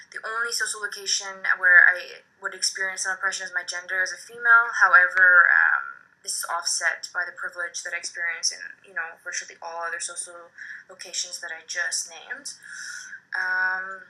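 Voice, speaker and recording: A woman's voice: on the low side, sharp tone. A woman in her thirties from Quebec, introducing herself for a course recording, hesitant with frequent fillers and self-corrections. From Quebec, Canada. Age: 30s. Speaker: social work student. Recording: tinny phone-like recording.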